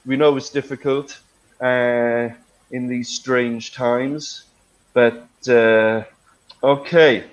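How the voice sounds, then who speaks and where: A middle-aged man hosts an online English class in a conversational way. His pitch is average and his pace is slow, with hesitant phrasing and frequent tag questions. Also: fairly even intonation, lo-fi sound. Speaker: English teacher, webinar host.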